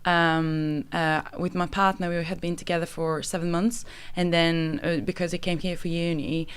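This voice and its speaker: A woman's voice: lowish voice, level tone, normal speed, smooth. A young woman from Bulgaria with a Bulgarian accent, a guest on a student radio show, speaking thoughtfully with many ums and uhs. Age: young adult. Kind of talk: casual chat on student radio.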